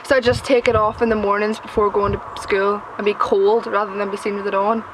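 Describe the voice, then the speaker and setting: A woman's voice: medium pitch, flat delivery, brisk, background noise, close to an everyday voice. A young woman with a Northern Irish accent playing a sardonic working-class schoolgirl telling her story in a conversational stage monologue. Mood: regretful. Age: young adult. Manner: conversational storytelling, sweary, mimicking others. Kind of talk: theatrical monologue.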